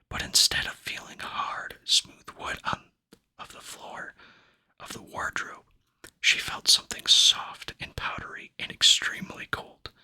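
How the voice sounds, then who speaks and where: Male ASMR reader for children's fantasy: fully whispered, bright airy hiss, gentle unhurried phrasing, close mic. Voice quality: breathy unvoiced whisper. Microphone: close microphone.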